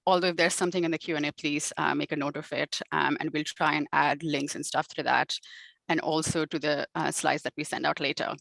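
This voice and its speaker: Woman hosting a zoom webinar, lowish voice, dry sound, lots of uhs. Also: fairly bright tone, no echo. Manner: friendly, frequent "uh" and "um" fillers. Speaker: webinar host. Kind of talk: webinar welcome and housekeeping. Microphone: video call.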